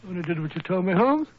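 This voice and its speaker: A man acting a bumbling, blustering older British gentleman with a husky voice, in 1940s radio drama style. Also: sits high, poor audio. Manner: blustering, genial.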